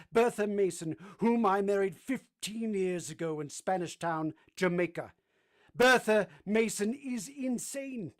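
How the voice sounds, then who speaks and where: Brooding period gentleman played by a male actor, bitter, anguished, declamatory radio drama delivery. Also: high-pitched, lightly acted. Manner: impassioned, declamatory. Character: anguished, brooding English gentleman, bitter and pleading with his beloved.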